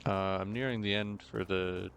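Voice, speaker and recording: A young man with a slightly hoarse, rough throat, chatting casually and rambling through gameplay commentary with many fillers and self-corrections. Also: sits low, steady tone, moderate pace, fairly bright tone, slight background noise. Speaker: gaming video host. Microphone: desk mic with noise gate.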